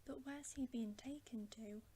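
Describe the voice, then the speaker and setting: A woman's voice: fairly high pitch, unhurried, warm tone, a little hiss or hum, close to an everyday voice. A woman whispering the part of an earnest, worried young girl who pleads, in a storybook reading. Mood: anxious.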